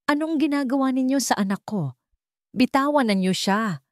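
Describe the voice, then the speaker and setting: A woman's voice: sits high, animated, normal speed, darkish tone, dry recording, clean studio sound, pitched above the performer's own voice. A woman voicing a distraught, pleading mother of a young child in a dramatic story. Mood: alarmed. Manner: pleading, anguished.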